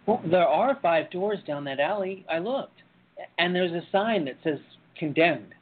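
A man acting in a radio play as a despondent young man with a husky voice, hesitant and defensive, sometimes stammering. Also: sits high, expressive, unhurried. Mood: brooding, uneasy. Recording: tinny, phone-like narrow-band audio.

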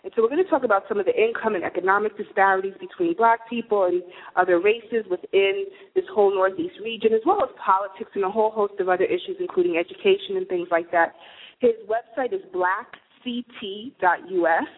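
A woman's voice: medium pitch, fast talker, breathy edge, narrow, tinny audio. A woman hosting a talk radio show, chatty and earnest, introducing topics and guests. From New Jersey, USA. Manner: chatty, earnest, warm asides.

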